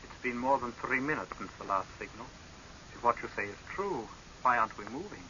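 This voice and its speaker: Sharp-voiced, coldly mocking young saboteur. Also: male voice, mid-range voice, expressive. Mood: grim, triumphant. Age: young adult. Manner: deliberate, measured, sardonic, menacing. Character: cold, mocking young male saboteur, calmly vengeful. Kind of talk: 1930s radio horror drama acting. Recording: hissy, dull 1930s radio recording.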